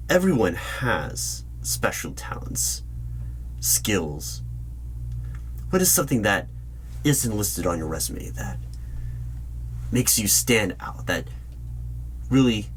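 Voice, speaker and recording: A man playing a polite, probing hiring manager in an ASMR interview roleplay, speaking in measured phrases with deliberate pauses. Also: lowish voice, rough recording. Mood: curious. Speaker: job interviewer. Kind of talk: ASMR roleplay, spoken aloud. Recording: background noise with keyboard typing. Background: computer keyboard typing.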